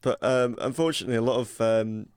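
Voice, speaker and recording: A man in a casual radio discussion, speaking in a measured way and hedging his points. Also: mid-range voice, darkish tone, clean tone, dry recording, clean studio sound. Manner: measured, hedges with "to be honest". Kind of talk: casual chat.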